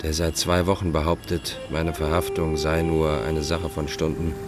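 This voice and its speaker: An actor in a German radio play voicing a proud, cynical fugitive clerk who speaks in a formal, measured, pompous way. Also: male voice, extremely low pitch, little pitch movement, loud music behind the voice. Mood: coldly self-important.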